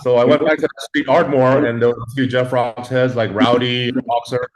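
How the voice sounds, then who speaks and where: A man's voice: mid-range voice, flat delivery, normal speed, rough recording. A man in his forties, raised in Los Angeles, telling his story as a guest in a laid-back way, full of fillers and swearing. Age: 40s. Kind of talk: casual storytelling.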